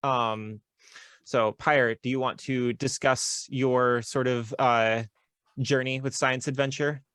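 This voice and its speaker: A man's voice: medium pitch, slow pace, smooth, no echo. Young male podcast host, casual, filler-heavy, dry close recording. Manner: relaxed, chatty, lots of filler ums.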